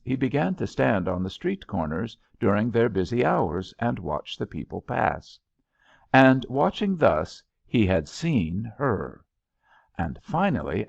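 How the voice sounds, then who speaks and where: Male narrator for literary audiobook. Animated intonation, creaky low voice, expressive measured phrasing, slightly muffled recording. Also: no echo. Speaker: audiobook reader.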